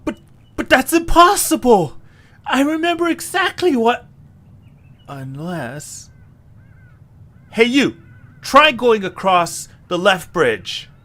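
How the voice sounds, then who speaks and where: A man playing an excitable, earnest young adventurer leading a stranded group in an audio drama. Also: extremely high pitch, slow pace, pauses often, exaggerated voice. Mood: alarmed. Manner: exclamatory, animated, earnest. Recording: clear background hiss and noise.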